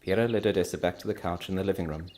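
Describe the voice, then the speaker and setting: A man's voice: deep voice, very fast, a little mellow. A man reading his own story as a scripted narration.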